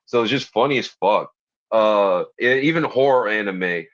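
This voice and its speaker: A man with a deep voice, talking as a guest in a casual interview, enthusiastic, slangy and profane. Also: expressive, moderate pace, fairly bright tone. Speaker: guest, body piercer. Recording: dull lo-fi stream audio.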